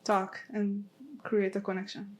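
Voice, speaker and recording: Earnest woman with a Hebrew accent, flat delivery. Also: medium pitch, measured pace. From Israel. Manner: earnest, hesitant. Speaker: podcast co-host.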